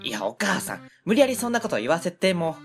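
A woman voices an easygoing male factory owner in his late thirties, speaking with a Kansai accent. The voice sits low yet swings lively as he tells stories. Soft music plays faintly underneath this radio drama. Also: a little fast. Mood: friendly. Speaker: narrator and lead character. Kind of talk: amateur web radio drama.

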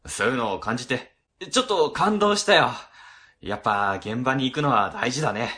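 A man's voice: medium pitch, expressive, normal speed, sharp tone. A man playing a fussy, grumbling middle-aged section chief, fretful and talkative, in a radio drama. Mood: fussy, musing.

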